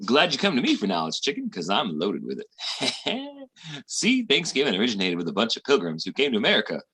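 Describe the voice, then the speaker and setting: A man's voice: medium pitch, wildly swooping pitch, fairly quick, pushed and bright, a little hiss or hum. A man playing a brash, bluffing, cheapskate boyfriend in 1940s radio sitcom style, slangy and full of stalling. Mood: cocky. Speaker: amateur theatre performer.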